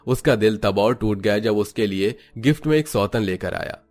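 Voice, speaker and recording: A man's voice: fairly low pitch, normal speed, darkish tone. A man giving scripted, melodramatic narration for a cartoon family story.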